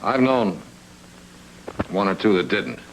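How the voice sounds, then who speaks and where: An actor playing a hard-boiled, sardonic private investigator in a noir radio drama, with dry slangy wisecracks. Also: male voice, lowish voice, expressive, takes it slowly, slight background noise, poor audio. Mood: probing.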